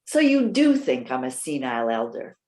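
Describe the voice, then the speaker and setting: Woman playing a proud, sarcastic elderly lady, very animated. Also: very deep voice, normal speed, heavily acted. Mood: defiant. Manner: articulate, sarcastic, defensive. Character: proud, independent elderly woman, indignant and sharp-witted. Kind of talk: readers theater stage acting.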